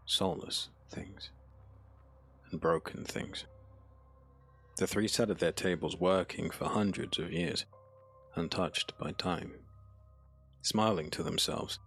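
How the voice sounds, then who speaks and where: A man narrating a dark fantasy audiobook in a solemn, measured, dramatic manner. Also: deep voice, husky.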